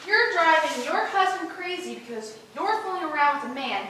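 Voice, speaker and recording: Woman playing an excitable, very high-pitched nosy onlooker. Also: moderate pace. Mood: astonished. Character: nosy, gushing, excitable female onlooker in stage comedy. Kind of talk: stage play rehearsal acting. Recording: distant mic, echoey room, faint noise.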